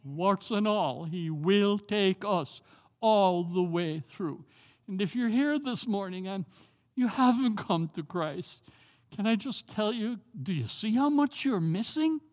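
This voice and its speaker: Older Jamaican man preaching, high voice. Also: animated, a little mellow, long reverb tail. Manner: earnest, emphatic, slows down to stress key phrases. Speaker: pastor. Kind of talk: sermon.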